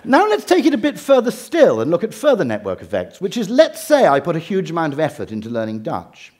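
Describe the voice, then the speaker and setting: A man's voice: fairly high pitch, wildly swooping pitch, brisk, darkish tone. A middle-aged English man giving a humorous talk, with dry wit and ironic asides. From England. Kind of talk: humorous lecture.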